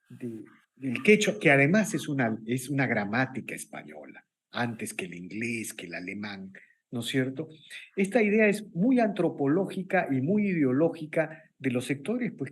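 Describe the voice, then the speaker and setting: A man with a Peruvian Spanish accent hosting an opinion interview, speaking emphatically with rhetorical questions and repetition. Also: mid-range voice. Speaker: interview program host.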